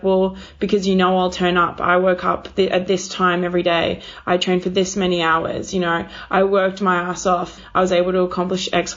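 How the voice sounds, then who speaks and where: Woman, interviewer, conversational, encouraging and affirming, medium pitch, slightly lo-fi recording. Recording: slightly dull, lo-fi sound.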